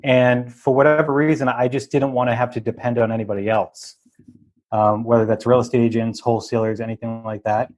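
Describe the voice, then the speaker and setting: A man's voice: medium pitch, little pitch movement, fairly quick, a little mellow. A man with a slight Boston accent, a real estate investor talking as a podcast guest in an easygoing, chatty way with frequent laughs. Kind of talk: casual interview chat.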